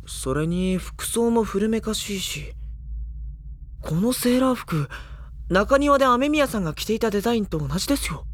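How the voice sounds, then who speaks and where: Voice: mid-range voice, close to an everyday voice. An actor playing a polite, level-headed teenage schoolboy in a Japanese audio drama, restrained and reflective in both dialogue and narration. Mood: observant. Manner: polite, restrained, matter-of-fact. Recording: studio drama with music behind. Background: background music.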